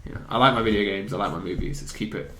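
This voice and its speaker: A man's voice: lowish voice, racing, sharp tone, a little hiss or hum. A man hosting a casual, opinionated chat among friends, recorded in a roomy space. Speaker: host of a video game chat show. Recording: roomy, echoey indoor pickup.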